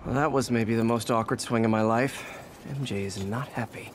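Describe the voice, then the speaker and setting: An actor playing a young, quippy, well-meaning superhero in a video game, awkward and self-deprecating. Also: male voice, mid-range voice, moderate pace, very noisy, lightly acted. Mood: rueful. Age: young adult. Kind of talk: naturalistic video game acting.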